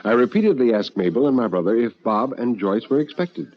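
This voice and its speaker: A man narrating a first-person story in a theatrical, measured and intense old radio drama style. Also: mid-range voice, sing-song. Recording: dull old radio recording with music.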